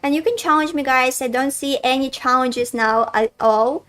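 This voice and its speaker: A woman's voice: sits high, normal speed, fairly bright tone. Russian-accented woman streaming chess. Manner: chatty, addresses chat as guys, thinks aloud. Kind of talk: live-stream commentary while playing.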